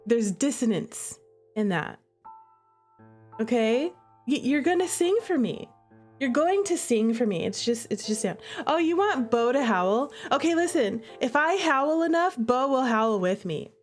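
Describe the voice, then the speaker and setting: A woman's voice: high voice, unhurried. A woman hosting a livestream, chatting casually and playfully to her viewers as she comments on a game. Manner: playful, animated, chatty, addressing viewers directly. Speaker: streamer and visual novel creator hosting a playthrough. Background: music playing behind the voice.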